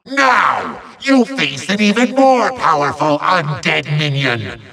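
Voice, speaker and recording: A man voicing a bombastic, petulant little evil sorcerer from a video game, ranting and gloating in hammy, drawn-out threats with a gravelly, sharp voice. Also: a little high, animated, far from the mic, a crowd in the background, exaggerated voice. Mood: menacing, smug. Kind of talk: theatrical video game villain monologue.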